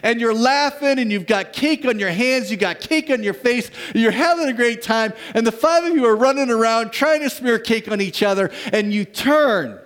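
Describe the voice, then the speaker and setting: A man preaching a sermon to his church as pastor, telling stories dramatically and swinging from lively to hushed. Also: very high voice, moderate pace, hardly any pauses.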